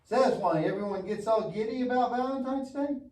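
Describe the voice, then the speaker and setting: A man's voice: extremely high pitch, a little fast, darkish tone, slight background noise. A man playing a goofy, lazy, mischievous young boy puppet in a church show, with an exaggerated, wisecracking delivery. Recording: echoey room, distant mic.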